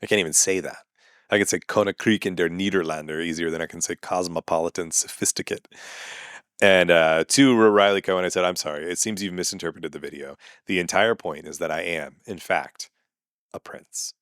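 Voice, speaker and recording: A man's voice: low voice, brisk, fairly bright tone, smooth, dry recording, clean studio sound. A man, a comedian and podcast host ranting sarcastically and with exasperation in a casual monologue.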